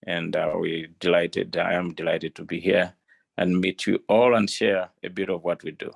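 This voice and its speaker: A man answers panel questions over a video call. His low voice has a gravelly edge, and he speaks earnestly with frequent pauses and restarts. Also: little pitch movement. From Malawi. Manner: earnest, with many fillers and restarts. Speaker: panel guest, development partner.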